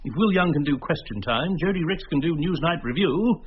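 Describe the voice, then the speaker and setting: Casting: self-important talent agent, highish voice, swooping delivery, tetchy, gossipy, radio play, dull sound. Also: male voice, fairly quick, breathy edge. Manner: pompous, jargon-laden, animated.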